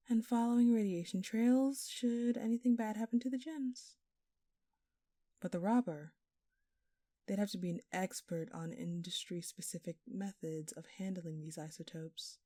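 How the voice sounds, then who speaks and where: Woman playing a smug, flirty thief, low mellow voice. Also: no room sound, studio quality, close to an everyday voice. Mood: sly, mock-innocent. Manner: teasing, sly, mock-innocent. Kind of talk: audio roleplay acting addressed to the listener.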